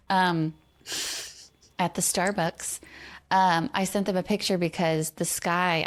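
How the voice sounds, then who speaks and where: A woman co-hosting a podcast, chatting casually in a slow, gentle way with soft laughs. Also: fairly low pitch. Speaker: podcast co-host. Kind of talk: casual chat.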